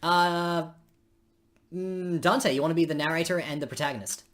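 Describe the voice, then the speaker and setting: A woman's voice: deep voice, moderate pace. A woman streamer chatting casually about a game that she made. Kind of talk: casual chat while playing own visual novel.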